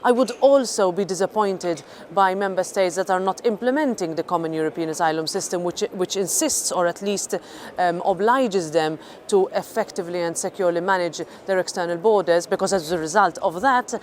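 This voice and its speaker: A woman's voice: lowish voice, brisk, a little mellow, a little hiss or hum. A woman politician speaking earnestly with some hesitations.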